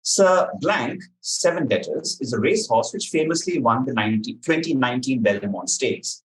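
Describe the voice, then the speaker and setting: A man presents a quiz as its question reader. He speaks in a measured, articulate voice, setting out clues and explanations for the contestants. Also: mid-range voice, lively intonation, poor audio. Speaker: quiz master.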